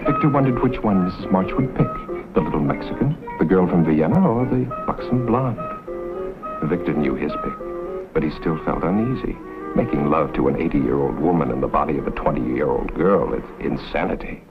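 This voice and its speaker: Very deep, ominous horror film narrator. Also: male voice, lively intonation, moderate pace. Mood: grave, ominous. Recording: narrow-band old film soundtrack with music.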